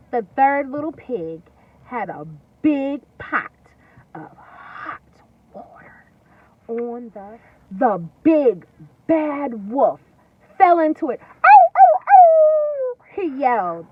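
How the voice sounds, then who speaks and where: A woman teacher reading a story aloud to young children, expressive and deliberate, pausing to explain and ask questions. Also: on the high side, breathy edge. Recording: distant, dull lo-fi recording with faint noise.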